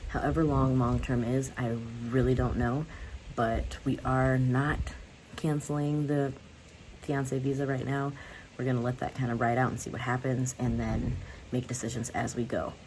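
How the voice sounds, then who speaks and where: Young woman, very low voice, mellow tone, candid rambling vlog talk, faint room ambience. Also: little pitch movement, moderate pace, slight background noise, rough recording. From United States. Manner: candid, rambling, laughs often. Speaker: vlogger. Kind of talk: casual talk to camera.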